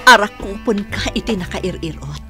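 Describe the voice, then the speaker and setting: A woman's voice: medium pitch, lively intonation, moderate pace, bright, forceful tone, faint music behind. A woman playing a loving, anxious partner in an Ilocano radio drama, with tender, emotive delivery. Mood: tender, longing.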